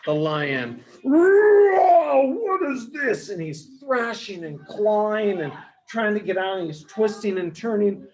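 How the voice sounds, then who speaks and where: A man telling a story to young children as a teacher, explaining things simply with dramatic pauses. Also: sits high, low-quality recording. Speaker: storyteller, early education teacher.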